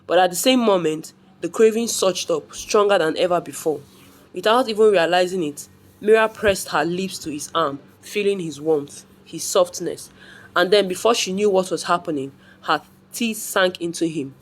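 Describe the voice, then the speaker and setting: A woman's voice: on the low side, moderate pace. Woman narrating a dramatic folktale. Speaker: storyteller.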